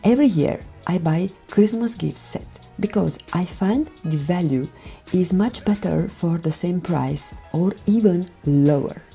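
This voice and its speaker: A woman from Romania with a Romanian accent, speaking a gentle, careful voiceover for her makeup tutorial, often dropping near a whisper. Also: lowish voice, measured pace, vocal fry. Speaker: beauty vlogger. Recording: voiceover with background music.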